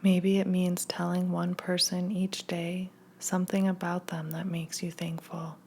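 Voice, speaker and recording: Female meditation guide: lowish pitch, gravelly fry at phrase ends, gentle and hushed scripted read with unhurried gaps. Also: level tone. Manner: gentle, soothing, hushed delivery with long pauses.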